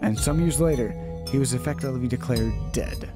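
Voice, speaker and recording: A man's voice: medium pitch, brisk, over loud music. A man reading a visual novel aloud as dramatic narration, often stumbling and rereading his lines.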